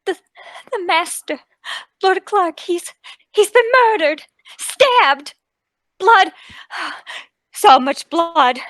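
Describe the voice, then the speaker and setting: Woman acting a high, hysterical maid. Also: expressive, a bit bright, no echo, heavily acted. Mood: horrified. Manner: gasping, halting, melodramatic. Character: hysterical young maid discovering horror. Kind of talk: radio play table read.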